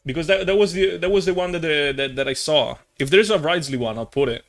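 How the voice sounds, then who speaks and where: A man's voice: fairly high pitch, fast, fairly bright tone. A young man chatting casually on a gaming live stream, rambling and slangy, playfully exasperated and prone to swearing. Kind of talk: casual live-stream chat while playing.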